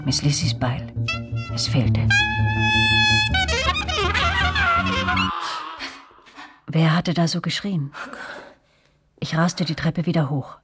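An actress narrating a radio drama as a reflective woman recalling her girlhood, with a breathy, husky voice. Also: low-pitched, unhurried, loud music behind the voice. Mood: frightened, urgent.

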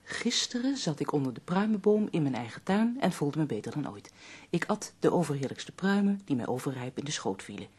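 An actress playing a polite woman who takes down a letter in a radio play, with a gentle, very husky and breathy voice. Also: deep voice, sing-song, fairly quick, exaggerated voice. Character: polite woman visitor taking a letter by dictation.

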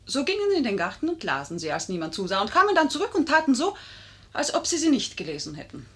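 A mysterious, flirtatious lady of a 1950s radio comedy. Her voice sits fairly high, and she speaks in a theatrical, articulate way, poised and teasing. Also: huge pitch swings, fast talker, a bit bright, full character voice. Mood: teasing, provocative. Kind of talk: 1950s German radio play acting.

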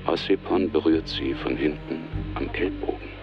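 An actor playing a terse, detached scene narrator in a radio drama, sober and matter-of-fact, with a dull recorded sound. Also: male voice, extremely low pitch, moderate pace, creaky, loud music behind the voice. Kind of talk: scripted present-tense narration.

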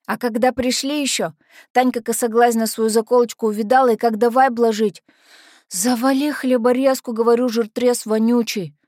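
A woman's voice: on the high side, a little mellow, no echo, close to an everyday voice. Casting: adult woman as tough but naive lovestruck orphan teen girl, first-person dramatic monologue, colloquial street slang, confiding delivery, dry studio sound. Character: brash lovestruck teenage orphanage girl telling her story.

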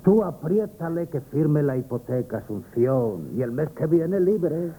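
A scornful, scheming swindler. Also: male voice, on the high side, expressive, unhurried, dark tone, low-quality recording. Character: cunning swindler Leoncio, cold and bossy. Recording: old radio broadcast.

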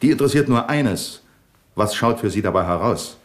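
An actor playing a shrewd, cynical police commissioner in a 1970s radio crime drama, dry, sardonic and probing. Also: male voice, lowish voice, lively intonation, normal speed, lightly acted. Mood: cynical, bitter. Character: shrewd, cynical male police commissioner leading a murder case.

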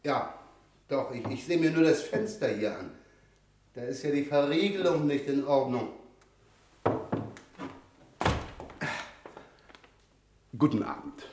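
An actor in a radio play playing a glib, cocky visitor with flowery, breezy talk, recorded in a roomy sound. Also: male voice, mid-range voice, lively intonation, normal speed, a little mellow, slight background noise, lightly acted. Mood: casual. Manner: flowery, breezy, smooth-talking. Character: glib, cocky male visitor posing as an auditor.

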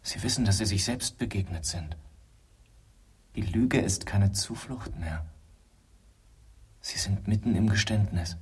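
A man's voice: lowish voice, unhurried, pauses often, husky, distant mic. An actor playing an eerie, wounded young man, the shadowy double of a wanderer, in a solemn, insistent German radio drama style.

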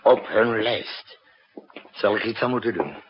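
An actor playing a gruff, suspicious male president with an authoritative, muttering manner in a 1960s radio drama. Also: lowish voice, lively intonation, a little slow, a little hiss or hum. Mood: gruff, wary. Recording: muffled 1960s radio recording.

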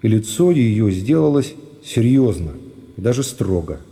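A man's voice: on the low side, big pitch swings, measured pace, dark tone, slightly rough. A man narrating a classic novel for a radio play in a dramatic literary style.

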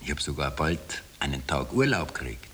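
A man's voice: extremely low pitch, sing-song, a little fast, a bit bright, husky, exaggerated voice. An actor playing an Austrian former political prisoner, telling his story with wry irony in a radio play. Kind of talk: 1960s radio play narration. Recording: slightly hissy old tape.

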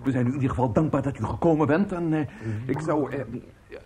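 A man's voice: on the high side, quick pace, a crowd in the background, lightly acted. An actor playing an awkwardly diplomatic man in a radio play, hesitant and placating. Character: placating, awkwardly diplomatic male associate of a singer.

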